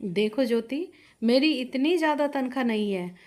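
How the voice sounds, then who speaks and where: A woman's voice: high-pitched, lively intonation, moderate pace, darkish tone. A woman voicing a practical young husband in a moral story, explaining and remonstrating. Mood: exasperated. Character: young husband, practical, reasoning, budget-minded.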